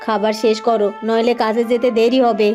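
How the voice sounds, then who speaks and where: A woman's voice: fairly high pitch, level tone, brisk, dark tone, soft background music, natural voice. A woman voicing a meek, dutiful young village wife in a cartoon, pleading and deferential. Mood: caring. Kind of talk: cartoon voice acting.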